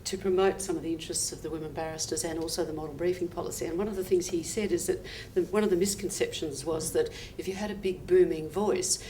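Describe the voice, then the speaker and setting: A woman barrister speaking in a measured, slightly hesitant way on a panel. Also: fairly low pitch, steady tone, dark tone. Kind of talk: panel discussion. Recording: distant mic with faint hiss.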